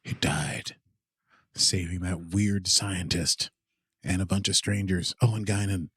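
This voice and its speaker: A man's voice: deep voice, fairly even intonation, slow pace, fairly bright tone, husky, no room sound. A man co-hosting a podcast, giving playful, goofy watchalong commentary and laughing often. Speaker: podcast co-host.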